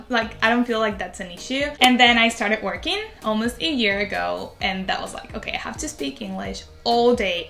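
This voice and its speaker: Chatty young Venezuelan woman, bright voice. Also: mid-range voice, roomy sound. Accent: Venezuelan Spanish. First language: Spanish. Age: 20s. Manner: chatty, rambling, heavy use of "like". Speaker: vlogger. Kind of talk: casual chat to camera.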